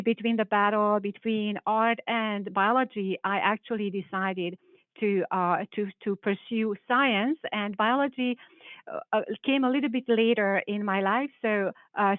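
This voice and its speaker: Hungarian woman, professor presenting to teens, earnest and halting, thin telephone audio. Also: medium pitch, steady tone, no echo. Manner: hesitant, restarts phrases, earnest. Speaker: biology professor. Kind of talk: panel presentation with slides. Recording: tinny phone-like call audio.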